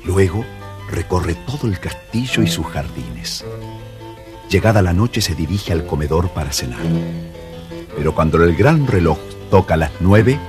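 A man with an Argentine Spanish accent narrating a children's story calmly on an old audio record. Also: low voice, measured pace, over music. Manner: calm, even storytelling.

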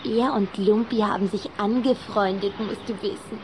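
A woman's voice: mid-range voice, fairly even intonation, normal speed, noisy background, close to an everyday voice. A woman playing a cheerful, mischievous little vampire girl in a German radio play, giggly and teasing. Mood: eager, gossipy.

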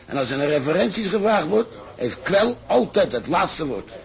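An actor playing a bitter, resigned out-of-work tugboat sailor in a radio drama, confiding gossip in a halting way. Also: male voice, on the high side, sing-song, vocal fry. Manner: halting, conspiratorial storytelling with repetitions. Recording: crowd murmur behind dialogue.

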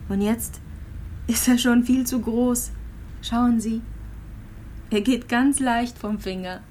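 A woman's voice: a little high, fairly even intonation, darkish tone. Frail, ill young mother, faint noise. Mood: quiet, sad. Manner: halting, repeating phrases, confiding. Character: gravely ill young immigrant mother, frail, fearful, resigned. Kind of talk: intimate radio drama monologue and dialogue.

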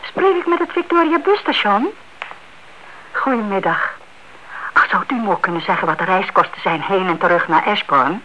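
An actress playing a curious, suspicious elderly aunt in a radio play, hesitant but persistent, on a noisy old recording. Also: female voice, medium pitch, expressive, normal speed, sharp tone, highs cut off. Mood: polite, puzzled. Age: older.

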